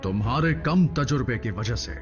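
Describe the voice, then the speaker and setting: An actor playing a noble, authoritative robot commander in a cartoon dub, speaking in a formal, measured way. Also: male voice, mid-range voice, expressive, close to an everyday voice. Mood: commanding. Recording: muffled dub with faint music.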